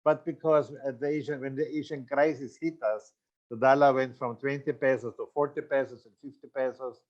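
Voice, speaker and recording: Older man from Austria, seminar presenter, mid pitch, halting with fillers, slightly dull lo-fi audio. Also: steady tone, a little mellow. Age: 60s. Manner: loose, halting delivery with many fillers and self-corrections. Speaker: franchise consultant giving a seminar.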